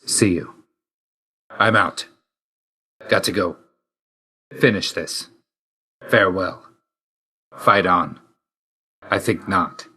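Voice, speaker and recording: A video game god of death with a low voice and a hard, forceful edge. He is grim and reserved, and he speaks in terse, solemn phrases. A dry loyalty sits under the sternness. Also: slow pace, long pauses, husky, full character voice. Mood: curt, wry. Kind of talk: scripted video game voice lines.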